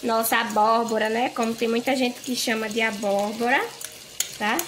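A woman's voice: a little high, fairly even intonation, normal speed, fairly bright tone, slight background noise. A woman chattily walking through a home recipe in her kitchen, often checking in with the viewers. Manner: chatty, tags phrases with "tá?" and "gente". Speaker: home cook vlogger. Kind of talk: casual cooking narration.

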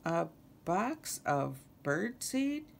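Woman playing an earnest, animated storybook frog. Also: medium pitch, unhurried, slight background noise, voice pushed up. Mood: dismayed. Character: earnest young male frog, worried about his home.

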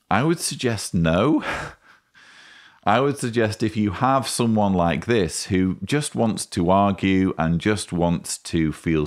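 Low-pitched, slow-talking man giving commentary. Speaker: host. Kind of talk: commentary, rebuttal to camera. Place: indoor study lined with bookshelves. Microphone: studio microphone on boom arm, close.

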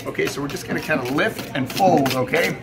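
A man's voice: a little high, a little fast. A middle-aged man giving a casual cooking demonstration, chatty and emphatic, often checking in with the viewer. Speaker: chef presenting a recipe video. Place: indoor commercial kitchen or sandwich shop. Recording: clear background noise in working kitchen.

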